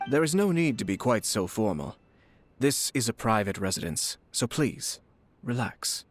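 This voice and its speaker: A man's voice: medium pitch, sing-song, measured pace. An actor playing a courteous young nobleman and clan head in a video game, formal, eloquent and composed. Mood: polite, measured. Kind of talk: video game character acting. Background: music.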